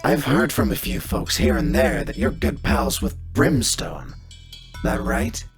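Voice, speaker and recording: Gruff cyborg gang boss for a tabletop game, super croaky n slow, sly and taunting. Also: male voice, mid-range voice, sing-song, soft background music, pitched above the performer's own voice, heavily acted. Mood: slyly probing. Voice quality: gravelly, breathy growl.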